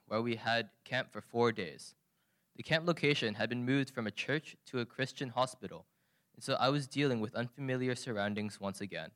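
A man reading out his mission-trip testimony at church in a steady, earnest way, with a few restarts. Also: mid-range voice, no room sound, clean studio sound. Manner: earnest, steady, slightly halting.

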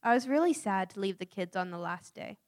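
A woman's voice: medium pitch, expressive, fairly quick. Young woman earnestly reading her account. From United States. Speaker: youth mission volunteer. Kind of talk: reading a prepared personal account aloud.

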